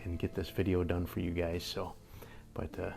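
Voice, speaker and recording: An older man with a slightly hoarse voice, rambling through a casual airgun review in a workshop and chuckling often. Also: low-pitched, completely flat delivery, normal speed, darkish tone, faint music behind. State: a little hoarse. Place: indoor workshop with tool wall. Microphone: camera at a few feet.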